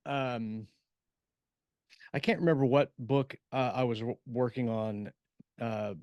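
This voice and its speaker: A man co-hosting a podcast, chatting casually and haltingly as he thinks aloud about writing. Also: mid-range voice, steady tone, no room sound, dull audio. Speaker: podcast co-host, science fiction author.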